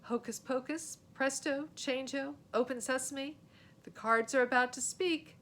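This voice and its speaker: A woman voicing a boy who bluffs as a grand stage magician in a storybook, with showy, declamatory patter. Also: measured pace. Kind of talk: storybook character voice. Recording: steady noise with low rumble.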